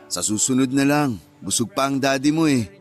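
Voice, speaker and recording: A man acting a gentle, caring Filipino husband and father in a radio drama. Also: mid-range voice, normal speed, close to an everyday voice. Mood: light, fond. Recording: music bed under the voice.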